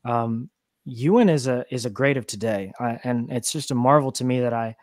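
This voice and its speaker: A man's voice: medium pitch, moderate pace, a little mellow, dry recording. A young man chatting casually as an interview guest, earnest and appreciative, speaking into a close studio microphone. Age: young adult. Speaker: guest musician, singer. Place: home room, indoors, on a video call. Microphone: studio microphone on an arm, close.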